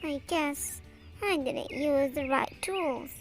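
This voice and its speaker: A woman's voice: high-pitched, slow, faint music behind, voice pushed up. A woman voicing an earnest, plaintive little girl in a children's storybook read-aloud. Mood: disappointed. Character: sad, earnest little girl who wants to garden.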